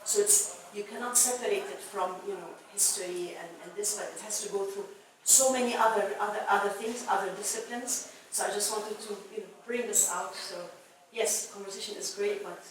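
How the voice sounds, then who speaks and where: A woman's voice: mid-range voice, steady tone. A woman from the Middle East making a hesitant, searching comment from the floor at a discussion event. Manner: halting, searching for words. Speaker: audience member. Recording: echoey room, far from mic, background noise.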